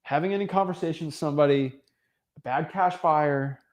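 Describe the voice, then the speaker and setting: A man's voice: fairly high pitch, a little fast. A young man hosting a livestream, giving an emphatic motivational talk that repeats key phrases, with frequent filler words. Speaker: host of a real estate livestream.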